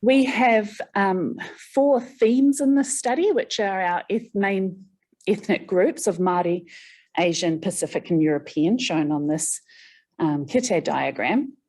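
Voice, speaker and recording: A woman's voice: mid-range voice, a little slow, a little mellow. A woman with a New Zealand accent presenting a research study at an online workshop, explaining steadily with a few ums.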